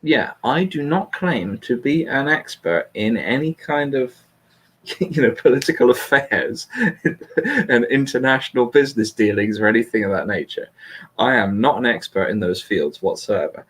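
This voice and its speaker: A man talking casually through politics, in a measured, questioning way that hedges his claims. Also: mid-range voice, expressive, husky. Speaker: host discussing a political transcript. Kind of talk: casual conversational political discussion.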